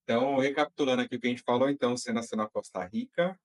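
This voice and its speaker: Male podcast host, relaxed casual interviewer, medium pitch, lots of fillers. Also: rapid-fire.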